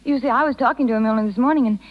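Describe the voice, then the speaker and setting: A woman's voice: fairly high pitch, very fast, lightly acted. A woman playing a tender, self-sacrificing young circus rider with a hesitant, pleading delivery in a 1930s radio drama. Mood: distressed. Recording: muffled 1930s radio broadcast.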